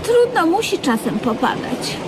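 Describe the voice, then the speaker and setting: A woman's voice: extremely high pitch, big pitch swings, unhurried, exaggerated voice. An actress playing a fussy, doting woman who lectures earnestly and gets flustered in a comic radio play. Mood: doting, chiding. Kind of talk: comedic radio play acting. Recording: slightly noisy old radio recording.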